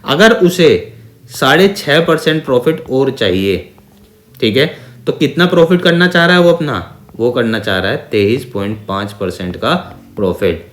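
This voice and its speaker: A man's voice: medium pitch, sing-song, moderate pace, fairly bright tone. Echoey Indian man teaching maths step by step. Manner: didactic, with frequent check-in questions and repeated phrases; mixes Hindi with English terms. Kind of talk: exam-prep lesson, working through problems step by step. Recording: echoey, reverberant room sound.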